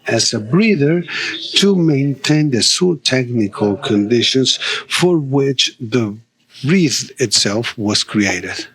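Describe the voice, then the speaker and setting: An older man delivering a formal conference lecture at an unhurried, deliberate pace. He talks in a measured, halting way, pausing and restarting phrases, with a slightly worn voice. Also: mid-range voice, fairly bright tone, poor audio. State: losing his voice. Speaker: conference speaker.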